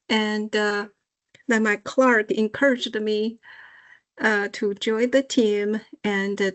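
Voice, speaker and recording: A woman whose first language is Mandarin introducing herself on a video call, halting and full of fillers. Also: medium pitch. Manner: halting, apologetic, many fillers. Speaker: election office staff. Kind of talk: self-introduction.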